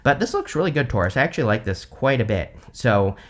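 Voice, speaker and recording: A man with a mid-range voice talks loosely through a tarot spread. He speaks to the camera in a relaxed, conversational way. His sentences wander and restart, full of little fillers and easy laughs. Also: medium pitch, quick pace. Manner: chatty and rambling, full of "like" and "you know", with light laughs. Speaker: tarot reader, channel host. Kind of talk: casual tarot reading to camera.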